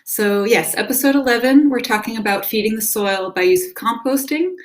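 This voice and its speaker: A woman scientist in her thirties presenting her work over a video call in a friendly, clear way. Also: a little high, brisk. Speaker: soil scientist guest.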